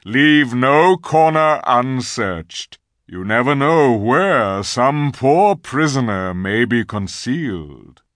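A man voicing a great lion leader in a storybook, booming, commanding and kindly. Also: lively intonation, slow, a bit bright, no room sound, clean studio sound. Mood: brisk, commanding.

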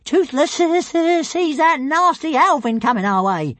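Brief: a man playing a small cheeky dragon, high and jittery. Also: sing-song, takes it slowly, a bit bright, dry recording, full character voice. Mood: excited.

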